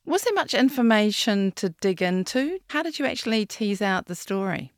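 A woman's voice: medium pitch, sing-song, normal speed, dry recording, studio quality. New Zealand woman hosting a podcast. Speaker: podcast host. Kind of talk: scripted intro and interview questions.

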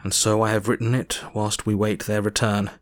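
A man's voice: on the low side, little pitch movement, normal speed, soft background music, close to an everyday voice. An actor playing a composed doctor who narrates events from his journal in an audio drama.

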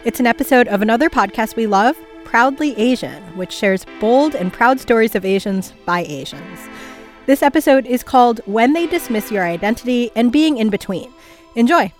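Woman host, upbeat scripted intro, higher voice. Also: animated, moderate pace, faint music behind. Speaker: podcast host.

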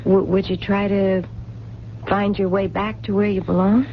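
An actress playing a hopeful working-class waitress in a radio drama, with colloquial diction and an earnest, pleading way of talking. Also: female voice, medium pitch, flat delivery, moderate pace, natural voice. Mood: eager, probing. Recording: dull, noisy 1970s radio recording.

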